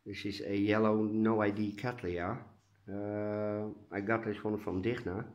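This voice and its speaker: Dutch guy, kinda stuffy nose, low voice, slow and hesitant, lots of uhs, casual vlog at home. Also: little pitch movement, darkish tone, dull audio. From Netherlands. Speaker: orchid grower vlogger. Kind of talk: casual home video update. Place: indoors at home.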